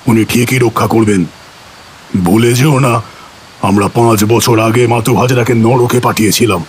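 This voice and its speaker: A man's voice: medium pitch, steady tone, moderate pace, a little hiss or hum, close to an everyday voice. A man playing a steadfast village priest who fights a ghost and reassures the others. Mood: earnest. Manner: earnest, reassuring. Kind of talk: audio drama acting.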